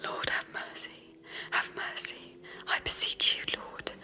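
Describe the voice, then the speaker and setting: A woman's voice: slow pace, sharp tone. An actress in a radio drama playing a terrified ghostly woman, crying and praying desperately for mercy. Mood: desperate pleading. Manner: crying, desperate whispered prayer.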